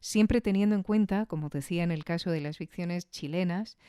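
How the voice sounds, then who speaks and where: A woman's voice: lowish voice, normal speed, mellow, clean tone, no echo, clean studio sound. A woman with an accent from Spain hosting a podcast, giving a casual, reflective spoken review with conversational tag questions.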